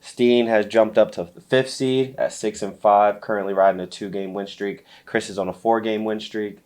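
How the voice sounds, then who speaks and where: A stuffy-nosed man with a lowish voice and little rise or fall in his pitch. He runs a laid-back fantasy football chat, drifting through fillers and easy chuckles. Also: normal speed. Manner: relaxed, lots of fillers and light laughs. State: stuffy from allergies. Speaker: podcast co-host.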